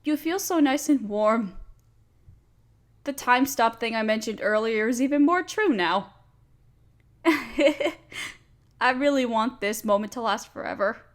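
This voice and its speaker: High-voiced, nervous, smitten young woman acted by a woman. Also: lively intonation, slow pace, long pauses. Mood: happy, affectionate. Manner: breathy gasps, sighs, hesitant stammering. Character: nervous, smitten, nerdy young woman confessing love to friend. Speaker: audio roleplay performer. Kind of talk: intimate romantic roleplay acting.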